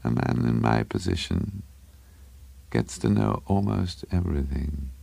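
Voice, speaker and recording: A man voicing a small, conceited, furtive madman in a story, with a low breathless mutter, a bubbling impediment and a halting, stammering delivery. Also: lively intonation, gravelly, mellow, breathy edge, dry recording, heavily acted. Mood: confiding, sly.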